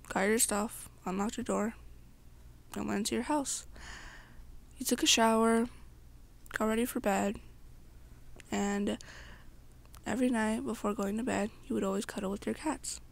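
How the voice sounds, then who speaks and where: A young woman softly narrating a second-person story in an amateur ASMR style. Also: on the high side, steady tone, unhurried, vocal fry, pauses often.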